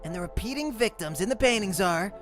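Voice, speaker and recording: A performer acts out an eager young male cup creature from a cartoon. He lectures like a bookish enthusiast, precise and easily excited. The voice sits at a middle pitch over faint music. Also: a little fast, natural voice. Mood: lecturing. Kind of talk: comic dub, animated cartoon lecturing.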